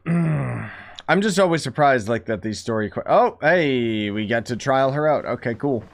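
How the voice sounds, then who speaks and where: A man's voice: medium pitch, lively intonation, unhurried, gravelly. A man giving casual, sarcastic commentary while he plays a video game. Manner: sarcastic, offhand and joking. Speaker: gaming streamer.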